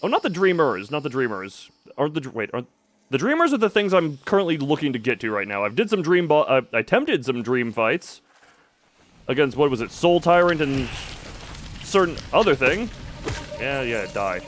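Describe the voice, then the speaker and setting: A man's voice: medium pitch, sing-song, normal speed, slight background noise. A man livestreaming a video game with casual commentary and replies to chat, rambling and stammering in a self-deprecating way.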